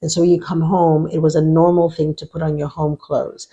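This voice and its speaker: A middle-aged woman from Nigeria speaking as an executive coach, giving deliberate, emphatic motivational advice in an instructive way. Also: sits low, level tone, warm tone, breathy edge, lo-fi sound. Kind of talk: motivational advice talk to camera.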